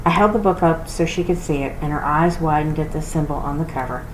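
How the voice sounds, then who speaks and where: A woman reading a storybook aloud as narrator for a library, with expressive delivery. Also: deep voice, brisk. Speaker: reader for a library read-aloud. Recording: roomy echo with clear noise.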